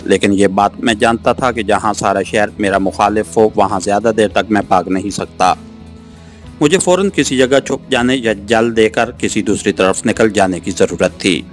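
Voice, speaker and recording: Male audiobook narrator, lower register, first-person thriller storytelling with soft music underneath. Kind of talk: scripted first-person novel narration, suspense. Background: background music.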